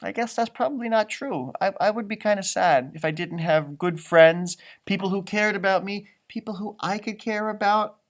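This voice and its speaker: A man reading a storybook aloud to young children, pausing for musing, simply worded asides in an expressive manner. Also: sits high. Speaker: storybook reader, elementary school teacher.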